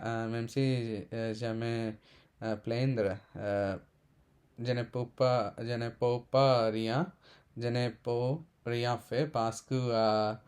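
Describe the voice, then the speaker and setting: A man in his twenties from India, a Telugu speaker, talking in halting learner's French with many pauses and self-corrections. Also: medium pitch, darkish tone, clear, resonant tone. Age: 20s. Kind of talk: casual unscripted monologue.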